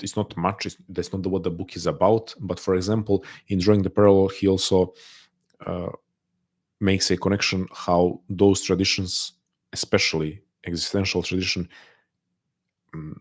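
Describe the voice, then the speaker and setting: A man speaks English with a non-native accent that listeners often take for Russian. His voice is very low and quite even in pitch. He talks softly and hesitantly into a desk mic, restarting his sentences as he answers a live chat. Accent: non-native English, often taken for Russian. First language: not English. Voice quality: soft-spoken, hesitant, many restarts. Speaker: livestream host answering chat.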